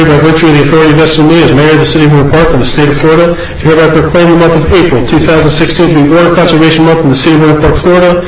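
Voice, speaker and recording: A male mayor with a cold, reading a proclamation quickly with small stumbles and joking asides at a city meeting. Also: a little high. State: has a cold, congested. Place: council chamber, indoors. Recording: echoey council chamber, faint hiss.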